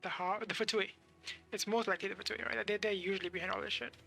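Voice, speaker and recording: Woman, game streamer, casual commentary, sharp bright tone, creaky, off-the-cuff, hesitant, faint music behind. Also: mid-range voice, steady tone, fast. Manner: off-the-cuff, hesitant, thinking aloud.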